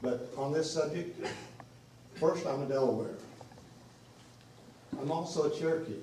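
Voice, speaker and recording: Earnest man in a booming hall. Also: mellow, slight background noise. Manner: earnest, deliberate, repeats himself. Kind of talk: public meeting remarks. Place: large meeting room. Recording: echoey meeting hall from far off.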